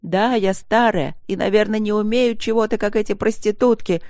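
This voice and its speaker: A woman's voice: medium pitch, moderate pace, gravelly, dry recording, clean studio sound, voice pushed up, close to an everyday voice. A woman voicing a furious, tearful middle-aged wife in an audiobook, wailing and exclaiming her accusations. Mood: tearful, accusing.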